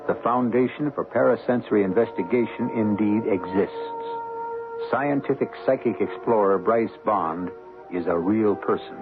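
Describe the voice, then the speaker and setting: A man hosting a vintage radio mystery series, narrating in a solemn, deliberate, portentous way. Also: fairly low pitch. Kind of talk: dramatic scripted narration, old-time radio. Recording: tinny band-limited old radio recording. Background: dramatic music.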